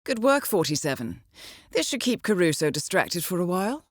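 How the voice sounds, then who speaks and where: An actress playing a composed intelligence handler who briefs an assassin in a measured, formal, understated way for a video game. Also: female voice, on the low side, very animated, no room sound, studio quality. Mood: focused, alert. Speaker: mission handler. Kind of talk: scripted video game voice acting, mission briefing.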